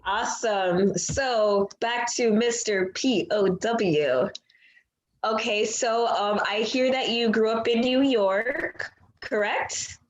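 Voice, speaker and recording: Slow-paced woman interviewer in echoey room. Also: a little high. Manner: encouraging, affirming. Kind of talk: casual interview. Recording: echoey room, faint background noise.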